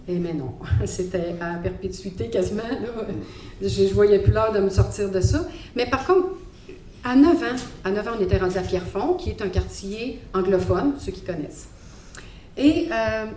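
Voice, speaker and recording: Woman, Quebec French accent, testimony talk, halting and reflective, echoey room, faint hiss. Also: mid-range voice, warm tone. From Montreal, Quebec. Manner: hesitant, reflective, many fillers. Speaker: guest speaker giving a faith testimony. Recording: echoey hall, faint background noise.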